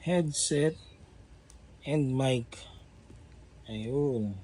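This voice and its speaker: A man with a Filipino accent casually unboxing a gadget in a vlog, relaxed and chatty with lots of fillers. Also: mid-range voice, extremely slow, slight background noise. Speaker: vlogger.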